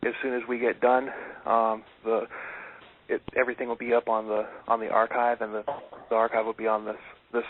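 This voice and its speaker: A man's voice: mid-range voice, completely flat delivery, fairly quick, slight background noise. Rambling man on lo-fi internet radio. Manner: rambling, many fillers and restarts. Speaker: talk show co-host. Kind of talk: casual chat. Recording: tinny phone-like internet radio.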